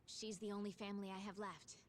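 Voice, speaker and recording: A woman's voice: medium pitch, flat delivery, quick pace, close to an everyday voice. A woman playing a polite, earnest young woman in a video game. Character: polite, troubled young woman running family company. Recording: music behind the voice.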